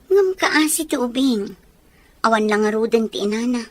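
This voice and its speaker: Actress as gossiping Ilocano village woman, slightly high. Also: expressive, normal speed, no room sound. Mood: concerned. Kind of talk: Ilocano radio drama acting.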